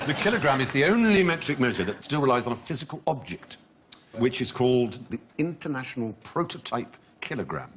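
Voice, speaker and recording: A man's voice: medium pitch, sing-song, brisk, a little hiss or hum. A man hosting a comedy panel quiz show, wry and playful as he explains facts to his guests. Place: TV studio with a live audience. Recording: TV studio with loud audience laughter.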